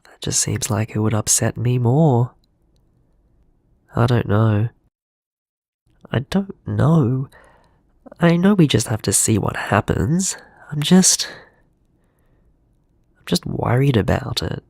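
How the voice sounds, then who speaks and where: A man's voice: medium pitch, lively intonation, slow pace, vocal fry, pauses often, darkish tone, dry recording, clean studio sound, exaggerated voice. An actor playing an anxious young man in an audio drama, hesitant and worried in his delivery. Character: anxious young man fretting about getting a dog. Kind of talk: audio drama voicemail monologue.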